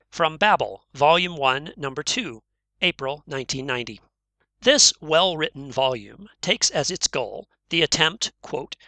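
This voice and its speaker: A man reading a written review aloud in a measured, formal way, saying the quotation marks out loud. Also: a little high, no echo.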